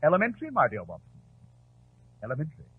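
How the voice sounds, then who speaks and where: An actor playing a brilliant, coolly superior detective in a 1940s radio drama, speaking precisely and theatrically. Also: male voice, on the high side, wildly swooping pitch, fast. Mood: calm, explanatory. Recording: tinny, noisy 1940s radio recording.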